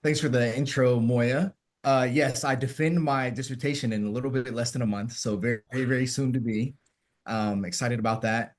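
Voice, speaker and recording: A man in his twenties, a panelist and doctoral candidate, introducing himself in a relaxed, self-deprecating way over a video call. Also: medium pitch, brisk.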